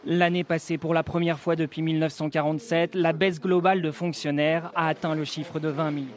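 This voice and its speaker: A man's voice: on the high side, level tone, fairly quick. A man reading scripted narration as a television news reporter.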